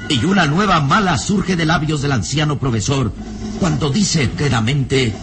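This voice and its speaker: An actor playing the solemn, suspenseful narrator of a mystery radio serial, with theatrical delivery. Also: male voice, on the high side, normal speed, lightly acted. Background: music.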